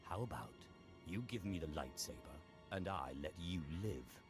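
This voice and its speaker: A cold Sith villain for a video game, menacing and curt. The voice is low with swinging intonation, under very loud music. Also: male voice, expressive, normal speed. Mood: cold threat. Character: menacing, commanding male Sith.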